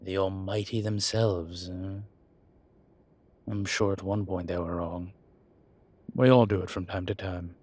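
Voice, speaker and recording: A man playing an ancient demon professor who is gruff but contrite and caring, speaking intimately to one listener in a halting, measured, husky voice. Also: steady tone, darkish tone. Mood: wry, philosophical. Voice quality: husky, breathy low voice. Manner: slow, halting, measured delivery with sighs.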